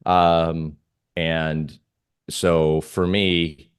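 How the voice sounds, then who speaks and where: A man with an extremely deep voice talks casually as an interviewed trader. He thinks aloud in a halting way, and the sound is dry with very little room. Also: flat delivery, smooth, dry recording. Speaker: trader guest being interviewed. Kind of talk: casual interview talk on markets.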